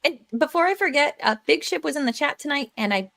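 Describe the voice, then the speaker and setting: A woman with a high, very animated voice hosts a casual group livestream. She chats in a playful, bubbly way, swinging freely in pitch as she talks to her guests. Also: fast, creaky. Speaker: host of a livestream.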